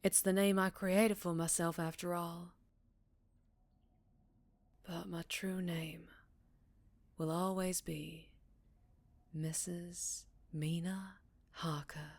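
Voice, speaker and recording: Woman playing a low, soft-spoken vampire woman. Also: little pitch movement, no room sound. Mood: tender, confiding. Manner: soft, breathy, measured, with whispered phrases. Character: centuries-old female vampire town leader, secretive, wistful, tender. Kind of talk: intimate ASMR roleplay monologue.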